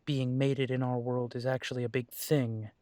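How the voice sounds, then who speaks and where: A man playing an earnest young adult werewolf son in an audio drama, talking in a measured, steady way. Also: mid-range voice, no room sound. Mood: insistent. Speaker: audio drama creator and lead voice.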